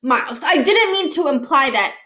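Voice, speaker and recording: An earnest know-it-all schoolboy from a storybook, put on by a woman. He lectures with measured self-assurance in a high voice. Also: expressive, sharp tone. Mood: placating.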